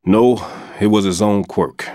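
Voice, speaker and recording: An actor playing an older male bomb disposal expert, a patient mentor who explains things in a measured, instructive way in a game cutscene. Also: fairly low pitch, mellow, no echo, studio quality, lightly acted. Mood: calm instructive. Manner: instructive, reflective. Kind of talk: video game cutscene dialogue. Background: music score.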